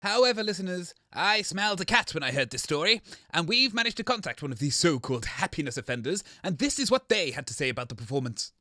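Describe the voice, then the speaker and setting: A man's voice: on the high side, very animated, fast talker, pushed and bright, no echo. A man playing a pompous, scheming, theatrical radio host in a scripted comedy drama. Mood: confiding. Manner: pompous, indignant.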